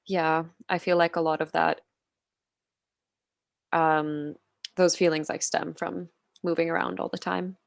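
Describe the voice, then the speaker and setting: A woman in her twenties from Finland chats on a video call, her voice fairly low and the sound dry and close. She speaks softly, giggles often, and fills her sentences with "like". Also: level tone, unhurried, gravelly, long pauses, no room sound. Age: 20s. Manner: soft-spoken, giggly, many "like" fillers. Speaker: podcast guest. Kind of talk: casual interview chat.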